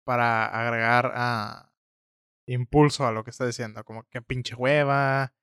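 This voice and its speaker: A man's voice: mid-range voice, measured pace, smooth, no room sound, studio quality. A young man with a Mexican accent, co-hosting and editing a casual, joking podcast chat. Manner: relaxed, joking, slangy. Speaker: podcast co-host and editor.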